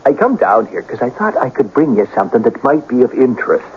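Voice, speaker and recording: An actor as a folksy, animated police sergeant. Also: male voice, medium pitch, quick pace, close to an everyday voice. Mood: hesitant, grave. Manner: hesitant, folksy, respectful. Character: deferential police sergeant bringing grim news. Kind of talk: 1970s radio drama acting. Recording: tinny, noisy old radio broadcast.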